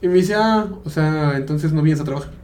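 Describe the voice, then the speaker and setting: A man's voice: on the high side, fairly quick, darkish tone, echoey room, a little hiss or hum. A young Mexican man with a Mexican Spanish accent, telling a story in casual podcast chat and acting out the other people with laughter. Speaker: podcast co-host.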